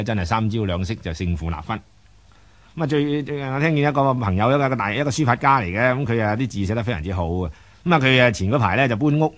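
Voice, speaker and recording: A man with a Hong Kong Cantonese accent giving conversational radio commentary, rambling and colloquial, repeating words as he goes. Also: fairly low pitch. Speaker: radio storytelling host and commentator.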